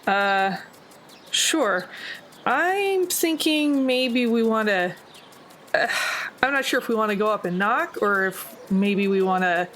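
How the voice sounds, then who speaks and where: A woman's voice: medium pitch, animated, slow pace, a bit bright, slight background noise. A woman talking casually as a player in a tabletop roleplaying game.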